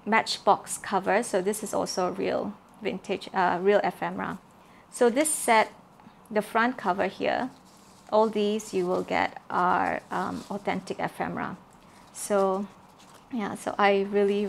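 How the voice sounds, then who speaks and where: A woman from Malaysia showing her handmade journal kits in a casual, soft-spoken way, with many fillers and repeated words. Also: mid-range voice, vocal fry, a little hiss or hum. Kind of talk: casual show-and-tell craft video.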